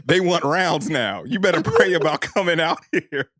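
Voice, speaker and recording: A man's voice: on the high side, lively intonation, moderate pace, a bit bright, dry recording, clean studio sound. An American man co-hosting a podcast, trading casual banter and mock-dramatic reading. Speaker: podcast co-host.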